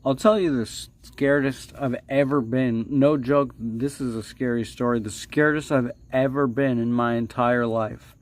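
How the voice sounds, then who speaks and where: A man hosts a livestream in a casual storytelling style, with a middling pitch. He rambles along haltingly, doubling back on his words. His delivery is playfully dramatic, with drawn-out phrases and hushed asides. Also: fairly even intonation. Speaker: livestream host.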